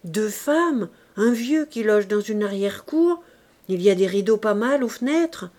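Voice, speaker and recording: A woman's voice: mid-range voice, lively intonation, unhurried, darkish tone, lightly acted. A woman voicing a male street robber muttering about a planned burglary. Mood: scheming.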